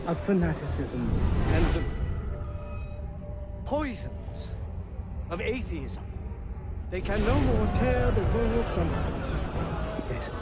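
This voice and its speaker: A man playing a pompous, fanatical revolutionary leader declaiming deliberately in a video game. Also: high-pitched, very animated, over loud music, full character voice. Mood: rehearsing, self-absorbed. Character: pompous male revolutionary leader, fanatical self-important orator. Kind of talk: cinematic video game acting, oratory.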